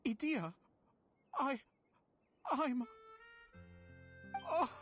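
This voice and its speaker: An actor playing a gentle, sentimental director in a video game, with an earnest, heartfelt and theatrical manner. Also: male voice, very high-pitched, animated, extremely slow, full character voice. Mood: deeply moved. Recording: dull audio with music.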